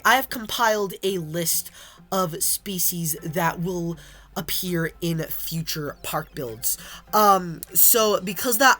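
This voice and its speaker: A young woman gaming creator, hoarse and raspy from being sick, chatting casually over her gameplay with frequent ums. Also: mid-range voice, fairly bright tone. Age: young adult. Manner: chatty, many ums and restarts. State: hoarse from illness. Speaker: gaming YouTuber. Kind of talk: casual game commentary.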